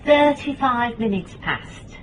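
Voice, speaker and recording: Wry British woman detective, lively intonation. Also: a little high, slow pace, music in the background, poor audio. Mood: dry, scolding. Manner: blunt, dry, wry. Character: brisk, wry female private detective. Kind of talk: radio drama dialogue and noir narration.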